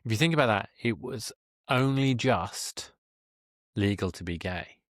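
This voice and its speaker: A man's voice: lowish voice, lively intonation, measured pace, dry recording. Earnest man in his fifties, dry sound. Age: 50s. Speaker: podcast host. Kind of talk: conversational interview, reflective summing up.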